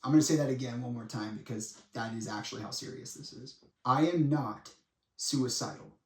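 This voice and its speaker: A man in his twenties giving a monologue to viewers in a dramatic, deliberate way, with pauses and blunt asides. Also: mid-range voice. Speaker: speaker making a personal public statement. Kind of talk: semi-prepared monologue addressed to viewers. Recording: echoey room, distant microphone.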